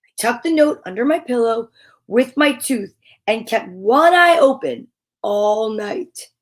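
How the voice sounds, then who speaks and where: A woman's voice: on the high side, expressive, a little slow. A woman reading a children's story aloud in a dramatic, playful manner. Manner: dramatic, with gasps and playful asides.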